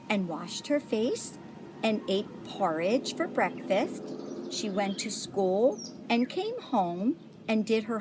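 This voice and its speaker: A woman reading a picture book aloud to children in a deliberate way, like a volunteer storyteller. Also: mid-range voice, background noise. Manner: deliberate, pauses to point out pictures.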